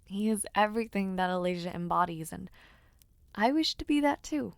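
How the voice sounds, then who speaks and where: Young highborn lady, voiced by a woman, genteel and spirited, warm toward the listener; close, dry audio-roleplay sound. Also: lively intonation, normal speed, creaky, no echo. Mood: fond. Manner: formal, genteel speech with soft hesitant fillers. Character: young noble lady, aspiring knight, lively and affectionate.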